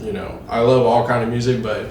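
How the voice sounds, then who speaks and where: A man's voice: mid-range voice, brisk, recorded from a distance, a little hiss or hum. Young man, hawaii-raised, laid-back rambling talk, very echoey room, heard from across it. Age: 20s. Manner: relaxed, rambling, filler-heavy. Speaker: musician guest. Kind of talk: casual interview chat.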